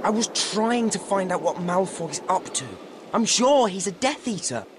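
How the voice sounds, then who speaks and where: A man's voice: sits high, expressive, moderate pace, noisy background. A man with a British accent voicing an earnest, suspicious, stubborn teenage boy wizard in a video game. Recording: loud music behind the voice.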